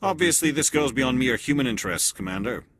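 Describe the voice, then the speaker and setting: An actor playing a male turian alien agent in a video game cutscene, calm and clipped with quiet authority. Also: mid-range voice, a little fast, background noise, lightly acted. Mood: measured. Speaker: elite agent observing a mission.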